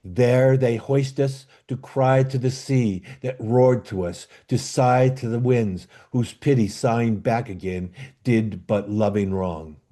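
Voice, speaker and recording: A man playing a bitter, commanding exiled duke and father in an amateur Shakespeare reading, with a declamatory, halting delivery. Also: medium pitch, fairly even intonation, dark tone, natural voice. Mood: earnest, tender. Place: online video call.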